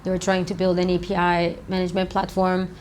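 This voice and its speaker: Woman in her 30s from romania, kinda low voice, rambles with tons of ums and likes, founder talking in an office. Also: monotone, brisk, a little mellow, slight background noise. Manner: rambling, many fillers like "um" and "like". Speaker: startup founder and CEO. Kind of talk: casual interview answers.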